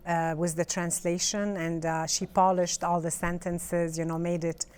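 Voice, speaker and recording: A woman's voice: lowish voice, little pitch movement, moderate pace, a little mellow, smooth. A middle-aged woman from Lebanon, French her first language, chatting as an author in an interview, with hesitant speech and frequent restarts. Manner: hesitant, restarts often, fillers. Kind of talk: casual interview chat.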